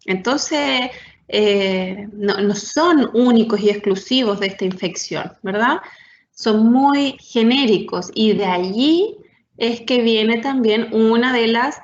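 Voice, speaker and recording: A woman's voice: on the high side, takes it slowly, slight background noise. A woman giving a university science talk, explaining step by step with frequent tag questions and fillers. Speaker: lecturer. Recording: echoey room, dull lo-fi sound.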